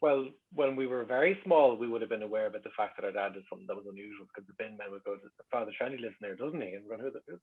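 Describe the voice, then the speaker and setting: A man with a Dublin Irish accent chatting casually, a wry and animated storyteller in a public talk. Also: on the low side, very fast, few pauses, breathy edge, phone-quality sound. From Dublin, Ireland. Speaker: guest speaker. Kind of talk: casual chat, reminiscing.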